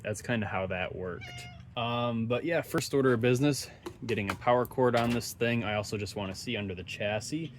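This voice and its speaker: A man's voice: mid-range voice, brisk. A man giving casual, unscripted commentary on hands-on repair work, thinking aloud in an offhand, dryly joking way. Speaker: host of radio repair videos. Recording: steady background noise, ambient sound.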